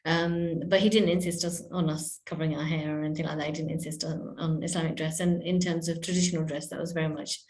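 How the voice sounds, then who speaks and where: A woman talks slowly as a podcast guest, pausing often and restarting her sentences. Her voice sits fairly low and stays flat in pitch. The room has a noticeable echo. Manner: hesitant, many fillers and restarts. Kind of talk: reflective interview talk. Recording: echoey room.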